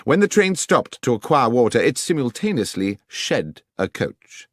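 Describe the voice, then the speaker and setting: A man voicing a brisk, coolly confident Victorian detective with an English accent, precise and authoritative, in an audiobook. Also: mid-range voice, big pitch swings, fairly bright tone, no room sound, clean studio sound. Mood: explaining, confident. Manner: precise, authoritative, methodical questioning. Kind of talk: audiobook character dialogue.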